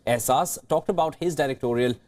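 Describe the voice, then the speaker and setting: Male, news anchor, fast scripted intro. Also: medium pitch, darkish tone. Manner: brisk, reading from script.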